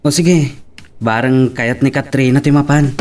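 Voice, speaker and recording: A man's voice: mid-range voice, normal speed, darkish tone, faint music behind, natural voice. A man playing an easygoing young boyfriend in an audio drama, with an Ilocano accent. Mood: casual, waiting. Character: easygoing young boyfriend waiting for his girl.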